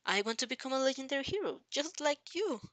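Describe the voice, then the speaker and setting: Woman voicing an earnest, anxious teenage boy, pitched up. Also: expressive, fast, a bit bright, no echo. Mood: eager.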